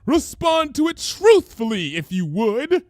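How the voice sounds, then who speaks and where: A man's voice: very high-pitched, big pitch swings, unhurried, no room sound, studio quality, pitched above the performer's own voice, exaggerated voice. A man voicing a theatrical, unhinged young female pony conspiracy zealot who proclaims everything dramatically. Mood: grandiose.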